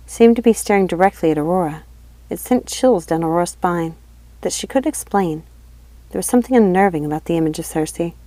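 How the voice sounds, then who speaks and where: A woman reads a fantasy tale aloud in a fairly low voice. The sound is dry, with very little room in it. It is scripted storybook narration. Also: dark tone, dry recording. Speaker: audiobook reader.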